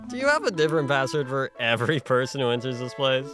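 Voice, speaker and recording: A man's voice: medium pitch, very animated, quick pace, over music, natural voice. A man voicing a naive, cocky young newcomer in an anime parody, glib and sarcastic with some stammering. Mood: puzzled.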